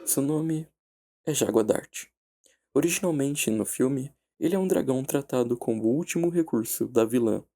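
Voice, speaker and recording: A Brazilian man narrating a scripted horror analysis in a measured, dramatic way with deliberate pauses. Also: medium pitch, creaky, warm tone. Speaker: narrator and video essayist. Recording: background music under the voice. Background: music.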